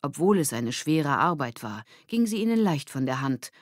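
Woman reading a kids audiobook, low voice, super dry close sound. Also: very animated, moderate pace, no echo. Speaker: audiobook narrator. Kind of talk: scripted children's story narration.

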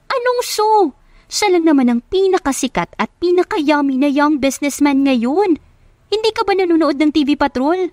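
A woman with a Filipino accent voicing an excitable, teasing young female best friend in an audio romance, exclamatory and slangy. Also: high voice, moderate pace, no echo, pitched above the performer's own voice. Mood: excited, incredulous.

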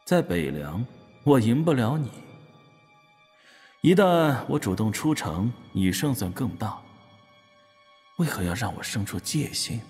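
An actor playing a proud, cold young eunuch martial master in an audio drama, speaking formally and sternly. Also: male voice, medium pitch, very animated, measured pace, long pauses, a little mellow, husky. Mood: challenging, probing. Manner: stern, probing, archaic formal diction. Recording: long hall reverb, music underneath. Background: background music.

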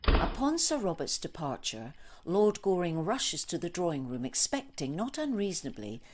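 A woman's voice: on the low side, sing-song, moderate pace, slight background noise. A woman narrating a radio play from a script.

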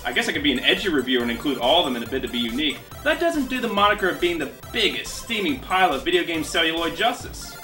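Animated man delivering scripted game-movie commentary. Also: a little high, quick pace, hardly any pauses, soft background music. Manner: sardonic, emphatic, opinionated. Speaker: video game movie critic, show host. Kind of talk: scripted video essay commentary. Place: small furnished bedroom, indoors.

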